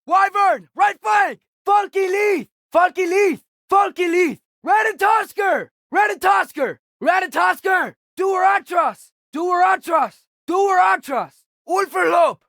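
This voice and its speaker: Teenage boy warrior, very high voice, shouting, urgent battle warnings, alert, video game. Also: vocal fry, no room sound, studio quality, exaggerated voice. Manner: short, urgent shouted callouts. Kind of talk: video game combat barks.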